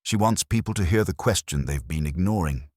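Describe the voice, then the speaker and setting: A man voicing a quiet, unhurried police inspector in a detective audio drama, measured and softly spoken. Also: deep voice, animated, dry recording, studio quality. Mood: quietly certain.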